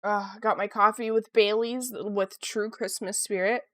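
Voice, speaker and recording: A woman's voice: mid-range voice, unhurried, no room sound, clean studio sound. A woman from Ontario, a sniffly art streamer chatting casually with her viewers while she draws. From Canada, Ontario. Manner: chatty, lively, reads chat aloud.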